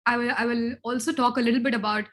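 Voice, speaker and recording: A woman researcher giving an online presentation, explaining her method while often clearing a scratchy throat. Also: a little high, steady tone, quick pace.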